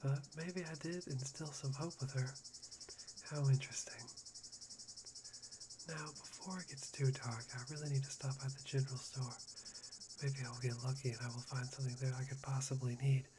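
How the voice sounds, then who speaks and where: A man playing an unsettling, self-deprecating young man obsessed with hope, breathy and theatrical in an anime-style ASMR roleplay. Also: mid-range voice, fairly even intonation, normal speed, close to an everyday voice. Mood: musing. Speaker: voice actor in fan roleplay. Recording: clear background noise, insect ambience.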